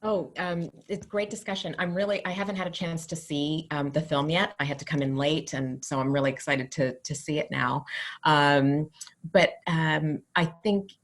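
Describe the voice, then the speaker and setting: A woman's voice: sits low, fairly even intonation, moderate pace. A woman speaking in a panel discussion over a video call, hesitant and thoughtful, with frequent ums. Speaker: panel participant. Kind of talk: discussion remarks.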